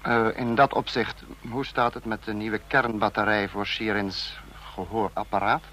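An actor playing a cold, authoritarian state leader in an old radio play, speaking in a clipped, lecturing, imperious manner. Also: male voice, lowish voice, level tone, normal speed, pushed and bright. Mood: stern, businesslike. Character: cold authoritarian male supreme leader of a fictional police state.

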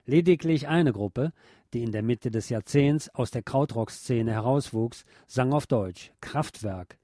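A man presenting a scripted cultural history piece in a measured, articulate, academic manner. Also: mid-range voice, warm tone, no echo, studio quality. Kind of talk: scripted essayistic narration on music history.

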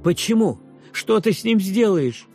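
A man voicing a tearful, defiant young boy who pleads and shouts in a raised voice. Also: high voice, animated, measured pace, faint music behind, voice pushed up. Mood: distraught.

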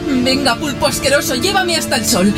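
A woman's voice: high-pitched, lively intonation, fairly quick, over loud music. A woman playing a fierce, hot-tempered secret agent in a sci-fi radio serial, with dramatic, impassioned delivery. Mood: furious, accusing.

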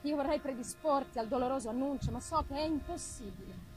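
A woman reads literary prose in a markedly high voice. Her delivery is theatrical and deliberate, phrase by phrase with expressive pauses. Soft live music accompanies her. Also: low-quality recording. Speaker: reader of a classic novel.

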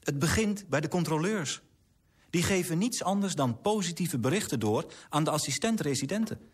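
An actor in a radio drama playing an earnest, idealistic clerk, formal and articulate, politely persistent. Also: male voice, on the high side, slightly rough.

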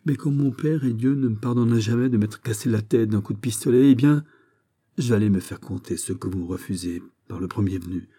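A young nobleman in love and in anguish, as a male reader plays him. He speaks politely and earnestly, with melodramatic pleading. The timbre is soft and very dark, as in a storybook reading. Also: low-pitched, level tone, a little fast, creaky, hardly any pauses, breathy edge, heavily acted. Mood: desperate. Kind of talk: dramatised audiobook of a historical novel.

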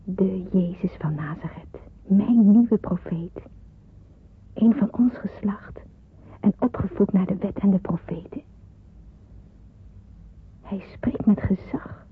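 Young fugitive woman, radio drama, devout and earnest, very husky, narrow-band muffled recording. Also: medium pitch, expressive, slow, pauses often, exaggerated voice. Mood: devout, solemn. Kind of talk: radio drama acting with narrated monologue.

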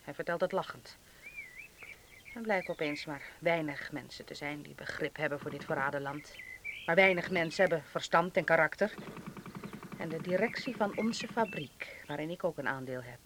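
A man's voice: on the high side, moderate pace, noisy background, natural voice. A man acting a sardonic, principled patriot in a Dutch wartime radio drama, with a biting and indignant way of talking. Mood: bitter sarcasm.

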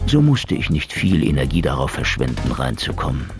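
An actor playing a cynical, world-weary Russian ex-detective in a noir radio drama, with a wry, mocking delivery. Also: male voice, a bass voice, very animated, moderate pace, vocal fry, over music, heavily acted. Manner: sardonic, wry, theatrical delivery.

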